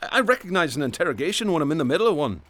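A man's voice: a little high, sing-song, very fast, a bit bright. An actor in a radio drama playing a troubled former policeman, hesitant, sardonic and evasive as a patient. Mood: defensive, wry.

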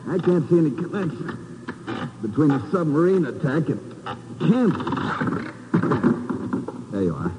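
Brisk first mate, reverberant hold. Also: male voice, on the high side, big pitch swings, dull audio. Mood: businesslike, puzzled. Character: brisk, skeptical male ship's first mate. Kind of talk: 1950s radio drama acting. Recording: reverberant, noisy old radio recording.

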